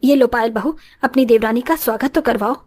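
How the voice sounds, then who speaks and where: A woman's voice: a little high, fairly quick, darkish tone, close to an everyday voice. A woman voicing a grumbling middle-aged mother-in-law in a moral story cartoon, full of exclamations and complaints. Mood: placating.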